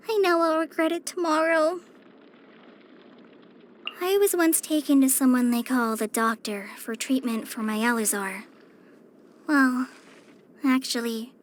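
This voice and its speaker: A woman's voice: very high-pitched, fairly bright tone, slight background noise. A woman voicing a shy, apologetic, earnest teenage girl in a video game, hesitant and stammering as she speaks. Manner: hesitant, stammering, self-conscious. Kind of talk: video game character voice-over.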